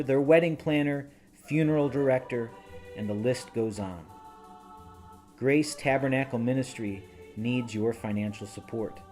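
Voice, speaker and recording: Guy narrating a fundraising piece, steady read, faint music under it. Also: mid-range voice. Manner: measured, appealing read. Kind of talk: scripted promotional narration. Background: soft music.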